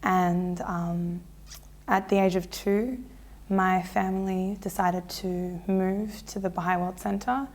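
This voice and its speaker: A woman, telling her life story in a relaxed, chatty way full of fillers and restarts. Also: on the low side, takes it slowly. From Australia. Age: 20s. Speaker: interviewee. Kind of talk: casual personal storytelling.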